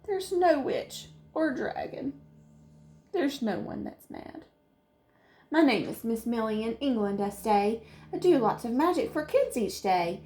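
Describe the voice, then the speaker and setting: A woman performs a storybook fairy, female and theatrical. She pleads her case insistently, with slow phrasing and a wide, lively range of pitch. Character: excuse-making female fairy, dramatic and insistent. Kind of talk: children's storybook read-aloud.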